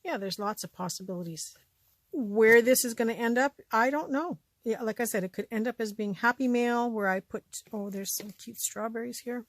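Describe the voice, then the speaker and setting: Chatty canadian lady, voice a bit scratchy, talks to the camera and drops into soft mutters, dry close sound. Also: a little high, moderate pace, no room sound. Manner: chatty, often trailing off into quiet asides. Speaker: vlogger showing a thrift haul. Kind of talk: casual show-and-tell chat.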